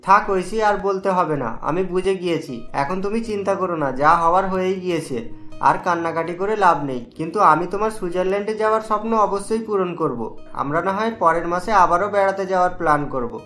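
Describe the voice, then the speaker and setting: A man's voice: high voice, brisk, fairly bright tone, soft background music. A man voicing a caring, patient young husband and son in a Bengali cartoon, speaking reassuringly. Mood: consoling.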